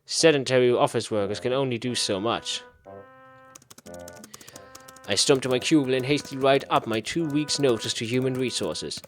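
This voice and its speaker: Male gaming host, medium pitch, casual read-aloud playthrough style, talks to himself repetitively, soft music underneath. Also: normal speed, pauses often. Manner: muttering to himself, repeating phrases. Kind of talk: casual playthrough commentary, reading game text aloud.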